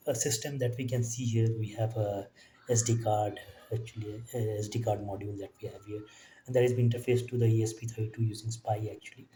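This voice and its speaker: A man giving an informal technical demo in a halting way, often tacking "actually" onto his phrases. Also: mid-range voice, dark tone, a little hiss or hum. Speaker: electronics hobbyist presenter. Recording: echoey room, distant mic, faint music.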